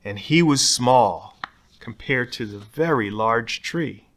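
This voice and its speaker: A man's voice: mid-range voice, animated, takes it slowly, fairly bright tone. A man reading a children's picture book aloud expressively, putting dramatic emphasis on key words. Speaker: storybook reader.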